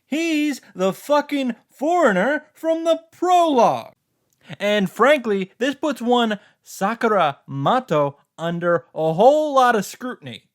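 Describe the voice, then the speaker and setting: A man's voice: extremely high pitch, very animated, slow. A man giving theatrical reaction commentary on a story.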